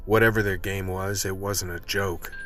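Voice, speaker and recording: An actor playing a world-weary undercover vice cop, telling his story in a measured, hard-boiled way for a radio play. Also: deep voice, fairly even intonation, a bit bright. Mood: grim, measured. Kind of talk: scripted radio play narration.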